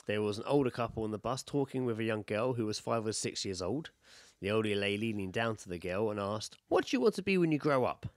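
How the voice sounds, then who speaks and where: Animated British man swapping casual stories. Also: fairly low pitch, fast, dry recording, studio quality. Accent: British English. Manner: sweary, animated storytelling, laughs easily. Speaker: podcast co-host. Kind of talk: casual banter and anecdotes.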